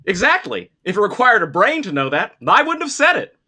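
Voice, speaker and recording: Scarecrow for audio drama, man acting: sharp, lively pitch, earnest and matter-of-fact explainer. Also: a little fast, exaggerated voice. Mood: earnest reasoning. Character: talking scarecrow stuffed with straw, reasoning matter-of-factly. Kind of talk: table read of an audio drama.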